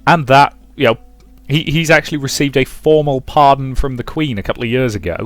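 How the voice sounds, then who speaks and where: A man's voice: mid-range voice, fairly quick, no echo. Man hosting a playthrough, music behind. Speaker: let's play host. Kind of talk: casual commentary and dramatic reading of a visual novel.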